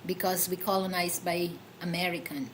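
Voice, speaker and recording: A woman's voice: on the low side, steady tone, normal speed, a little hiss or hum. A woman with a Filipino accent hosting a casual livestream, chatty and full of fillers and giggles. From Philippines. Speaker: livestream host.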